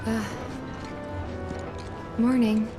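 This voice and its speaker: A woman voicing a gentle, hesitant young woman in a video game, talking casually to a friend. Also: a little high, level tone, drawn-out words. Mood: tentative, wistful. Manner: tentative, casual. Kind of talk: scripted video game dialogue. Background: loud music.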